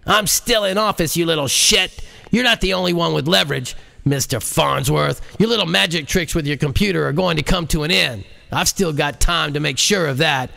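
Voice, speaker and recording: Man acting an irate, high-pitched blustering president. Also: moderate pace, gravelly, hardly any pauses, pushed and bright, heavily acted. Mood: furious, defiant. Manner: indignant, emphatic, sputtering. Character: irate, blustering male president, loudly indignant. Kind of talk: audiobook character acting.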